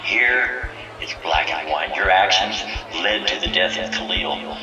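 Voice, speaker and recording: An actor playing a stern, solemn village leader giving measured, commanding oratory in an audio drama. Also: male voice, low-pitched, expressive, reverberant, far from the mic, lo-fi sound, music in the background. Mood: stern, coaxing. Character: stern, solemn village leader presiding over a memorial and judgment. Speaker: community leader. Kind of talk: formal speech in an audio drama.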